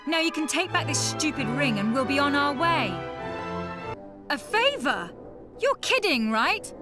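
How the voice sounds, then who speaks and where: A woman playing a feisty, sharp-tongued young mage in a video game, confident, sassy and direct. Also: high-pitched, sing-song, measured pace, over loud music. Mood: indignant. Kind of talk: animated video game voice acting.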